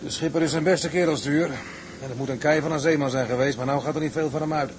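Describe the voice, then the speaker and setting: An actor playing a blunt, practical bosun on a tug in a Dutch radio drama, speaking colloquially. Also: male voice, a little high, steady tone, fast, lightly acted. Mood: confiding. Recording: noisy, off-mic ship ambience.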